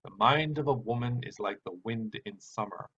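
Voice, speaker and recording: A man's voice: on the low side, normal speed, fairly bright tone, slightly rough. A man voicing a sardonic, world-weary male traveler with a dry, sighing manner. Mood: dry, resigned. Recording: dull sound with background hiss.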